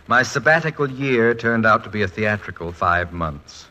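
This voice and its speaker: An American actor playing a witty, proud, fretful middle-aged college president and husband in a wry, articulate 1940s radio comedy-drama style. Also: male voice, on the low side, lively intonation, a little slow, sharp tone. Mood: fond. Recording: noisy 1940s radio recording.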